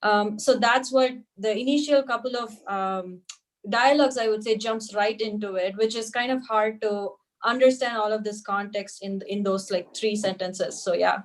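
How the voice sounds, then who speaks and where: A woman's voice: mid-range voice, normal speed. A woman talking casually as a writer explaining a script in an online discussion. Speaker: amateur playwright explaining their script. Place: online video meeting.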